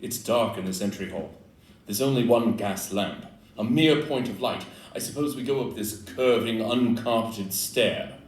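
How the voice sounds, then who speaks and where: A man's voice: on the low side, a little slow, lightly acted. A man acting a keen, exacting detective on stage in an audio play, speaking in a precise, declamatory, analytical way. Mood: observant, dry. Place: live indoor performance in a club room. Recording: roomy distant live recording, background noise.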